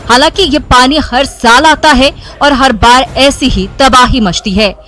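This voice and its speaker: A woman reading a scripted news voice-over in a measured, formal way. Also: high-pitched, bright, forceful tone. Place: studio voice-over.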